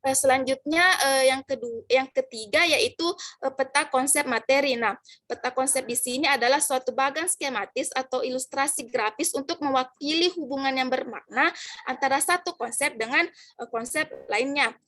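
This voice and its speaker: A woman's voice: high-pitched, normal speed, a bit bright. A young woman student giving a class presentation, reading formulas and definitions from slides with many hesitations and fillers.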